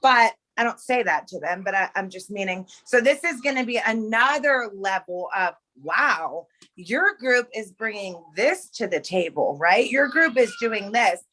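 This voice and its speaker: A woman hosting a live call, giving her team an emphatic and energetic pep talk. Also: medium pitch, a little slow. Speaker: team leader, host.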